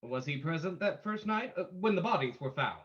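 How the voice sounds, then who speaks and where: A performer acts a suave male detective in an old-time radio play. He questions formally and calmly, with lively rises and falls in pitch. The sound is slightly muffled. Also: a little fast, natural voice. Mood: probing. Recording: dull lo-fi sound.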